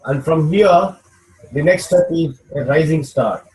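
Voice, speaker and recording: A man with a somewhat raised voice walks a listener through steps on a video call. He is patient and steady, tagging each point with a quick "okay?" to make sure he is being followed. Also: a little high, low-quality recording. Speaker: business mentor. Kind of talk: video call coaching session.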